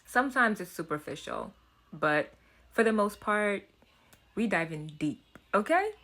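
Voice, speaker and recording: A woman's voice: mid-range voice, lively intonation, unhurried, a bit bright. A woman with a Haitian accent talking casually to camera as a self-development vlogger, chatty and earnest, often restarting and repeating words.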